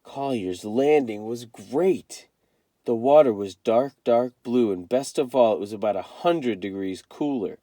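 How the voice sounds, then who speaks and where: A man reading a first-person children's novel aloud as an expressive storyteller. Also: medium pitch, a little slow, no room sound, clean studio sound.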